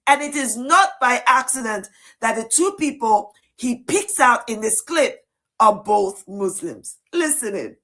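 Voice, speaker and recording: Woman commentator, indignant, cutting, fairly high voice. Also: expressive, unhurried, sharp tone. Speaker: video commentator. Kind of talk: political commentary video.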